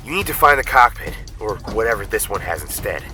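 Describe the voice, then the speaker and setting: A man acts a cautious, practical young male hero in an audio drama. His lowish voice is extremely sharp and urgent, ringing in a reverberant space. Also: sing-song, a little fast, exaggerated voice. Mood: urgent, wary. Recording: reverberant hall effect with faint music.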